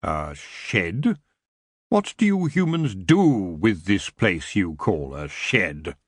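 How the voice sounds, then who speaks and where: Male narrator doing an ancient elf king: deep, unhurried, silky, menacing. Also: big pitch swings, no echo, clean studio sound, heavily acted. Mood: intrigued. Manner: smooth, languid and slow.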